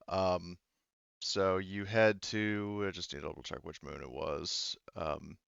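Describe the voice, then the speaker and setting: A man's voice: deep voice, fairly even intonation, vocal fry, a bit bright, clean tone, no room sound. Man, game master narration, clean resonant tone, informal, hesitant phrasing, close dry sound. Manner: halting, informal, swears casually. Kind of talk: casual narration of a tabletop roleplaying game. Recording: dull, lo-fi audio.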